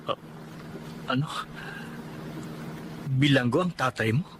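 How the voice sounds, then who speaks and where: An actor playing an attentive, curious young boyfriend with a jealous streak in a radio drama. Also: male voice, medium pitch, takes it slowly, natural voice. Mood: puzzled. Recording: clear background noise.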